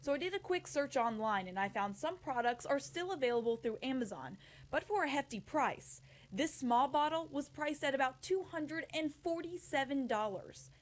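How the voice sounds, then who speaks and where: A woman's voice: sits high, expressive, normal speed. A woman reporter narrating a scripted TV news report.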